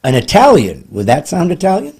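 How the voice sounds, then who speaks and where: A man's voice: huge pitch swings, a little fast, creaky, slightly rough. A middle-aged man hosting a comedy podcast, joking with sarcasm and a theatrical manner. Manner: wisecracking, theatrical and sarcastic, with big swings in pitch and loudness.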